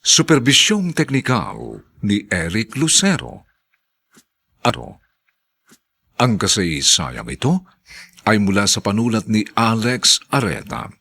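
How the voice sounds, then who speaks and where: A man announcing a radio drama in dramatic scripted narration, theatrical and deliberate. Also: fairly low pitch, wildly swooping pitch, vocal fry, bright, forceful tone, slightly rough.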